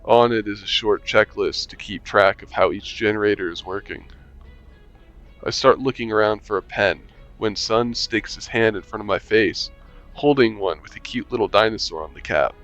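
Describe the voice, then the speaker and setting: Wry low-voiced man reading a game aloud. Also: moderate pace. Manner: relaxed, wry, mutters to himself while playing. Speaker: gamer reading a visual novel aloud. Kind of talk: reading game text aloud as narration and player lines. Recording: lo-fi mic with faint music.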